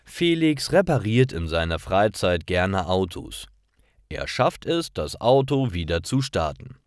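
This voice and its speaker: Male narrator, for learner stories. Low pitch, dry close sound, careful articulation of simple scripted text. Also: very animated, a little slow, dry recording. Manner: simple, carefully articulated read-aloud delivery.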